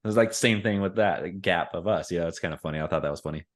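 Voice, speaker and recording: Man in his forties, podcast co-host, casual storyteller who acts out quotes, lowish voice. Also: fast talker. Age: 40s. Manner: animated storytelling with acted-out quotes. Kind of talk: casual chat.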